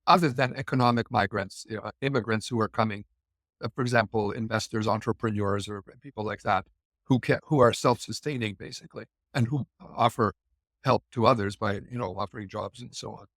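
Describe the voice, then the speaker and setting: A man's voice: fairly low pitch, steady tone, a little fast, fairly bright tone, dry recording, clean studio sound. A middle-aged Canadian man explaining points thoughtfully in a conversational discussion, with frequent fillers and restarts. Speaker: guest immigration commentator.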